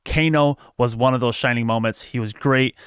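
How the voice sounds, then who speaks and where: Guy doing casual movie news commentary, crisp voice, no room echo at all. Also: mid-range voice, moderate pace, fairly bright tone, studio quality. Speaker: video host giving movie news.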